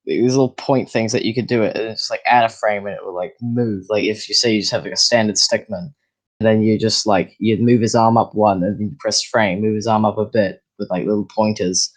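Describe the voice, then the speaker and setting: Rambling young man, low voice. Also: steady tone, a little fast, rough recording. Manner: rambling, many fillers and restarts. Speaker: podcast guest. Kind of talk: casual chat.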